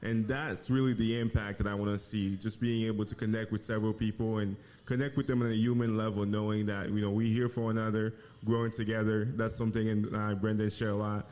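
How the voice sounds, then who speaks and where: A man from Haiti, a financial planner, talking in an earnest, measured way as a guest. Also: on the low side, level tone, darkish tone. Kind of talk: casual interview.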